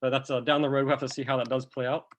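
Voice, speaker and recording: A man who is a bit under the weather, with a cough, walking through price charts in a relaxed, step-by-step commentary. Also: medium pitch, racing. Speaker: crypto chart analyst. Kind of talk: screen-recorded chart walkthrough.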